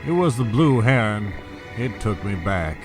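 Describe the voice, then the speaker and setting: A suave older schemer with a sentimental streak, in a soap-style audio drama. His voice is fairly low, with soft music behind. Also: big pitch swings, a little slow. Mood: wistful, courtly.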